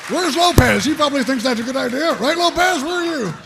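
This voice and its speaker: An actor playing a gruff, gung-ho military squad leader with a gravelly voice and pompous, barking commands, in a comedy table read. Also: male voice, extremely high pitch, lively intonation, quick pace, a crowd in the background, noisy background, heavily acted. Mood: urgent, needling.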